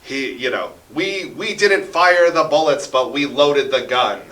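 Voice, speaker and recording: Guy telling local history stories, punchy bright voice, keeps checking in with "right?", roomy and distant from mic. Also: medium pitch, lively intonation, a little slow. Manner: emphatic, often checks in with "right?". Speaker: interview guest, radio drama producer and local history enthusiast. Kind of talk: animated conversational storytelling. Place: radio studio. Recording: roomy, echoing, away from the mic.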